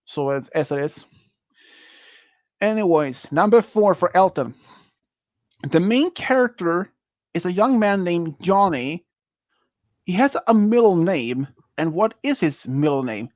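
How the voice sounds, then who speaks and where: A man in his early thirties, not a native English speaker, hosting a casual quiz on a stream and talking in a slow, halting way with many restarts. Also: sits high, animated, a little mellow, dry recording. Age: early 30s. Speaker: quiz host.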